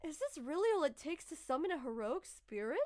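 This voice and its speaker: A sweet, naively curious anime wife played by a woman. Her voice is extremely high and lilts with lively swings, in comic parody style. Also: brisk. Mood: innocently curious.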